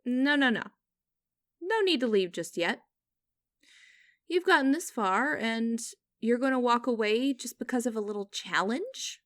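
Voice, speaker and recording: A woman playing a stern, mocking young company boss in a scripted audio roleplay, speaking in a formal, deliberate and condescending way. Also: a little high, expressive, a bit bright, dry recording. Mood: bitter, challenging.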